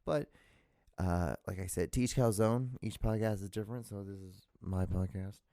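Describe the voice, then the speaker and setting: A man with a very low voice hosts his own show alone, rambling and restarting his sentences. He has a loud voice, recorded dry and close on a handheld recorder. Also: fairly even intonation, normal speed, vocal fry, dry recording. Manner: rambling, lots of fillers and restarts. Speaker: podcast host. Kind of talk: solo rambling podcast chat. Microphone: handheld digital recorder.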